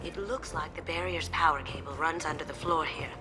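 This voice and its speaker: Low-pitched female game thief, cool, playful and confident, music underneath; played by an actress. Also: brisk, natural voice. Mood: confident, businesslike. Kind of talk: video game character acting. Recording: music behind the dialogue. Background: game soundtrack music.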